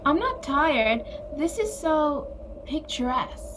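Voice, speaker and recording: An actress in an audio play playing a bewildered, wonderstruck woman, marvelling in a drawn-out way. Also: high voice, big pitch swings, fairly bright tone, a little hiss or hum, full character voice. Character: bewildered, wonderstruck woman transported inside a painting.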